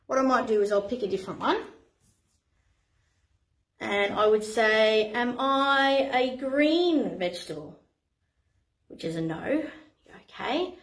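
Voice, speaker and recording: A woman's voice: a little high, animated, takes it slowly, long pauses. An Australian woman in her thirties, a playgroup facilitator, explaining games to parents in a chatty, encouraging way with many ums. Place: playroom with a screen, indoors. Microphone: camera a few steps away. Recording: echoey room.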